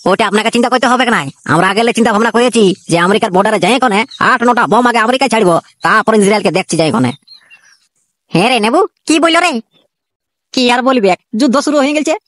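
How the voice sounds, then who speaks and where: A voice artist voicing two jittery young male soldiers in a comic cartoon, with a Purulia Bengali accent and rapid, chatty banter. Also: mid-range voice, fairly bright tone, no room sound, clean studio sound.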